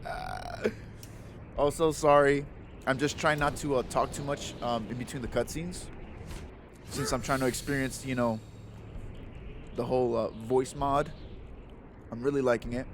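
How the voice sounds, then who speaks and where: A man's voice: medium pitch, fairly even intonation, measured pace, long pauses, slight background noise. A man doing casual, slangy let's play commentary with playful reactions.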